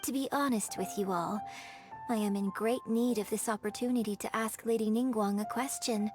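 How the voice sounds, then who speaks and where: A woman's voice: a little high, steady tone, moderate pace, lightly acted. A woman playing a refined, courteous young opera performer in a video game, speaking formally and articulately. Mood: gracious. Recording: clear background music under the voice.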